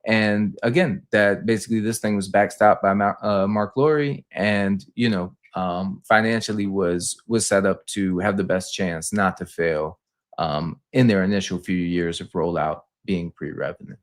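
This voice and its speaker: Low-voiced man, halting stock commentator. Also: fairly even intonation. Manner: halting, repetitive, explanatory. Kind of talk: unscripted financial debrief.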